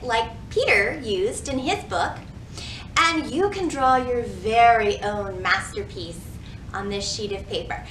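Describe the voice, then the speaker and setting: A woman hosting a children's storytime, reading and teaching in an animated way with careful enunciation for young listeners. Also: high voice. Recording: roomy echo, faint background noise.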